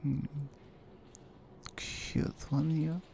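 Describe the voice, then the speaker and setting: A menacing, seductive Russian mafia woman, acted by a woman for an ASMR roleplay. She talks very slowly in a mellow, breathy murmur with a Russian accent, teasing and in command. There is faint noise behind her. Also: low-pitched, little pitch movement, exaggerated voice. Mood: commanding, amused. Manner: slow, deliberate, dominant and teasing.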